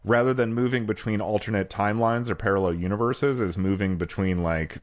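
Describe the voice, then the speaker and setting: A man co-hosting a podcast, talking casually and haltingly as he thinks out loud. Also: lowish voice, no echo, studio quality. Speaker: podcast co-host. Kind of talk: casual chat.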